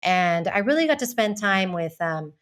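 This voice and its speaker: A woman in her thirties from Texas, speaking as a guest in a relaxed interview. Her voice sits at an ordinary middle pitch. She talks in a candid, reflective way and laughs easily. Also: normal speed. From Texas, United States. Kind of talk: casual interview chat.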